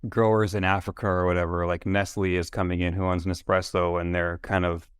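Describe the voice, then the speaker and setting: A male podcast guest, a native Spanish speaker, talking casually with animated restarts. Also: low-pitched, fairly quick, smooth, no room sound, clean studio sound. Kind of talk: casual interview chat.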